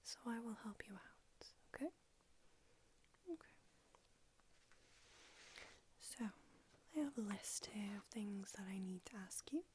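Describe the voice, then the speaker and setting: A woman's voice: mid-range voice, animated, slow pace, long pauses, a little mellow, a little hiss or hum. A woman whispering in an ASMR roleplay, voicing a brusque, haughty old female vampire doctor with a dry manner. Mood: whispery, brusque.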